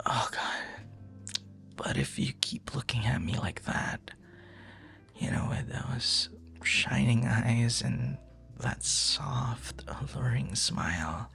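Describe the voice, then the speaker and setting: A man playing a flustered, smitten young suitor in an intimate ASMR boyfriend roleplay, speaking in halting, tender murmurs. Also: mid-range voice, vocal fry, fairly bright tone, exaggerated voice. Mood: smitten, hushed. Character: smitten, flustered young male stranger turned romantic suitor.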